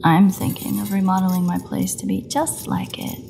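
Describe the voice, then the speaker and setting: A woman playing a defiant captive vampire in an audio drama, snarky, mocking and bitterly flippant, with a gravelly voice. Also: mid-range voice, fairly even intonation, normal speed, warm tone, a little hiss or hum, lightly acted. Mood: bitter sarcasm. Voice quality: gravelly, creaky voice. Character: defiant, sarcastic captive female vampire under interrogation. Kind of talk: dramatic audio roleplay monologue.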